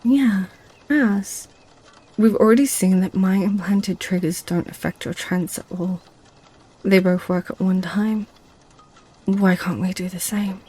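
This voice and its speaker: A woman's voice: mid-range voice, measured pace, warm tone, slightly rough. A woman playing a seductive, possessive succubus in an ASMR roleplay, with a teasing, sly way of talking. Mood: playful, coaxing. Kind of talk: ASMR roleplay audio drama.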